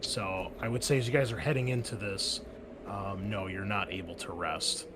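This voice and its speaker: A man's voice: on the low side, steady tone, moderate pace, background noise. A man narrating as game master of a tabletop role-playing game, rambling a bit with fillers as he describes the scene. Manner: loose, rambling with many fillers.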